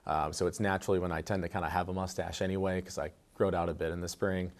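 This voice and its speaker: Guy with a really low voice, laid back, rambling answers full of ums. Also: very fast. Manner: relaxed, rambling with many fillers. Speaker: teacher interviewed. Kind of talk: casual interview answers.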